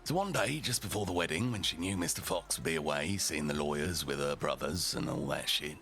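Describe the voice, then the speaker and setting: A man voicing a rough and ready, foul-mouthed storyteller, narrating a storybook tale theatrically with deliberate, dramatic pauses. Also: rumbling low, lively intonation, a bit bright, full character voice. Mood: gruff, dramatic.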